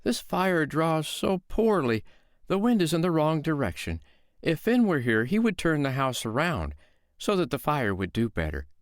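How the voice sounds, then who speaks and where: A man voicing a calm, shrewd foster mother, talking in a sweetly sly, coaxing way. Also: a little high, normal speed, dry recording, studio quality, pitched above the performer's own voice.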